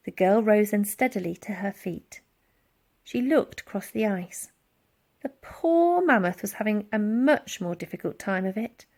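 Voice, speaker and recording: A woman reading a children's storybook aloud in a dramatic, expressive narrating style. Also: medium pitch. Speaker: audiobook reader.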